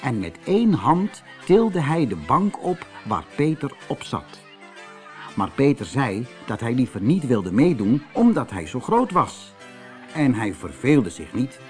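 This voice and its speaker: A man narrating a fairy tale for children as an audiobook reader, in an expressive storytelling manner. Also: mid-range voice.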